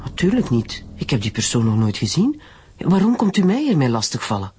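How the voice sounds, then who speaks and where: A woman's voice: extremely low pitch, sing-song, fairly quick, darkish tone, husky, heavily acted. A woman playing a curt, guarded business owner questioned by police in a radio drama. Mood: guarded, irritated. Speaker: actor in a radio crime serial. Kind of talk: naturalistic radio drama acting.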